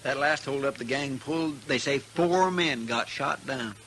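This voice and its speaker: An actor playing a loyal, naive Western deputy with a rural American drawl, homespun and deferential, in 1950s radio. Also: male voice, medium pitch, measured pace, lightly acted. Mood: concerned, eager. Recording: hissy 1950s radio drama recording.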